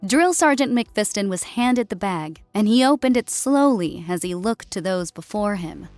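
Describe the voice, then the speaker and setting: A woman's voice: medium pitch, animated, moderate pace, a little mellow, soft background music. A woman narrating a scripted science fiction story as an audiobook.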